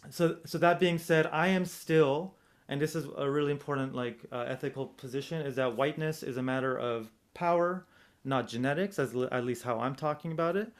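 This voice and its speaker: A man's voice: medium pitch, a little fast. A man with an American accent giving an informal academic presentation, thinking aloud in a reflective, hedging way and posing rhetorical questions. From United States, Southern California. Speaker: presenter, domestic violence practitioner.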